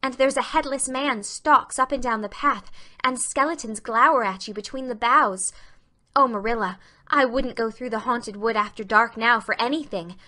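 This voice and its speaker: An imaginative young girl of an audiobook drama as a woman performs her. Her voice is high and bright. She speaks with breathless, romantic intensity and a streak of fearfulness. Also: normal speed. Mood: excited, fearful. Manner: breathless, impassioned, romantic storytelling. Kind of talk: dramatic audiobook character reading.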